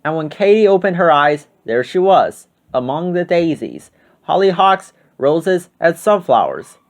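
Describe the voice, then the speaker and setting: Slightly high-voiced man reading a storybook. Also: big pitch swings, measured pace. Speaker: storybook reader. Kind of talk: expressive scripted children's narration.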